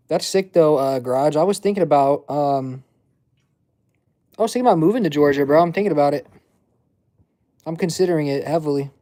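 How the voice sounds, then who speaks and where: Guy in his 20s rambling on a live stream, kinda high voice, mellow, lots of slang. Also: moderate pace, pauses often. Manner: rambling, filler-heavy slang. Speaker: livestream host. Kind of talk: casual livestream chat reading comments.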